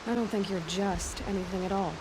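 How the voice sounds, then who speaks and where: A woman's voice: mid-range voice, fairly even intonation, brisk, heavy background noise, lightly acted. A woman playing a guarded, blunt huntress. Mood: wary. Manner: wary, dry, questioning. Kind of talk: video game dramatic acting.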